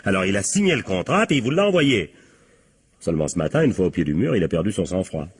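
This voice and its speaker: Husky, insistent police captain. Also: male voice, deep voice, sing-song, quick pace, heavily acted. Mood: forceful, accusing. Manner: colloquial, pressing, prodding with short tag questions. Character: male police captain, insistent, sardonic interrogator. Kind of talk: French radio crime drama acting.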